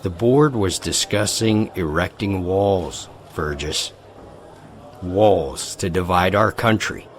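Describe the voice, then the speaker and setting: A commanding army general with a very deep voice, measured and authoritative in an audio drama. Faint noise lies beneath. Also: male voice.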